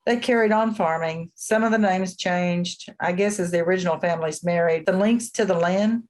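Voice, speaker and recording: A woman's voice: on the low side, a little mellow, natural voice. A woman playing an elderly small-town local in a radio play, telling old lore in a folksy, matter-of-fact way. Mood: matter-of-fact, gossipy. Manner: folksy, unhurried storytelling.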